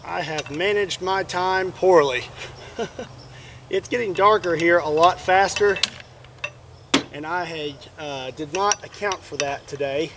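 A young man with a Kentucky accent talks in an easygoing, plainspoken way, thinking aloud as he goes. His voice sits a little high for a man. He is recorded by a camera mic out in an open field, with faint noise behind him. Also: takes it slowly, pauses often. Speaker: shooting channel host. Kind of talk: casual outdoor demo narration. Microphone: camera mic, sometimes at a distance.

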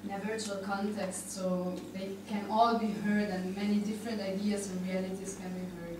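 A woman's voice: mid-range voice, flat delivery, moderate pace, distant mic. Young woman in a noisy, echoey room. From Argentina. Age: young adult. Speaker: panel participant. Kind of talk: informal discussion. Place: indoor conference room. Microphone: handheld microphone.

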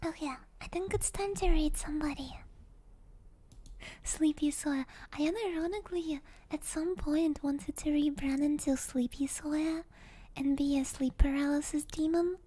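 A woman chatting softly and casually to livestream viewers in a breathy, mostly whispered ASMR style, close to the microphone. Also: sits high, a little slow. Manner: soft-spoken, relaxed, rambling. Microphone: close binaural ASMR microphone.

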